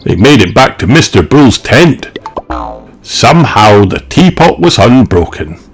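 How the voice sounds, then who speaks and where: Male children's audiobook narrator: unhurried, deliberate, hugely varied intonation, light music underneath. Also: medium pitch. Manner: expressive, deliberate. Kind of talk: children's story read-aloud.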